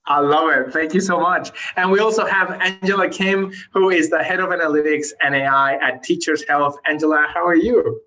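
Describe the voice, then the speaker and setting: Bright, forceful male podcast host. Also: fairly high pitch, fairly even intonation, moderate pace, hardly any pauses, poor audio. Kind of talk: sponsor reads and panel hosting.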